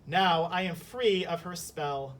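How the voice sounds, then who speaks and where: A man's voice: slow pace, fairly bright tone. A man voicing a grateful, polite young fairy-tale prince in a read-aloud story. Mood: grateful, earnest. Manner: polite, earnest. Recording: slightly distant mic, faint rumbling noise.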